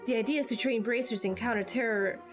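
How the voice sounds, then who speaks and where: A woman voicing a shaken, self-reproaching male instructor in amateur game dubbing, halting and subdued. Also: fairly high pitch, over music, natural voice. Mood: explanatory.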